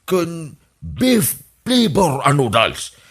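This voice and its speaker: A man's voice: a little high, huge pitch swings, drawn-out words, husky, full character voice. A man playing a sly, chuckling old schemer. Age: older. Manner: chuckles constantly, sly and boastful. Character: scheming old husband running for village captain. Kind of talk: comedy radio drama acting.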